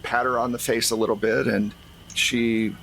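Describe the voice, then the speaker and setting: A man's voice: medium pitch, little pitch movement, normal speed, creaky, a bit bright, low-quality recording. A man acting as game master, narrating a tabletop role-playing game to the players. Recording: faint background noise.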